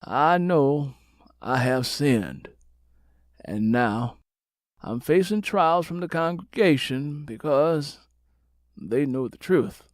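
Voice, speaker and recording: A man acts out an older father and church pastor in an audiobook. He is troubled yet stubborn. His phrasing is deliberate and weighty, as if every line were scripture from the pulpit. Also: expressive, dry recording, studio quality. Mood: troubled, preachy. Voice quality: deep, weighty. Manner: sermonizing, slow deliberate phrasing.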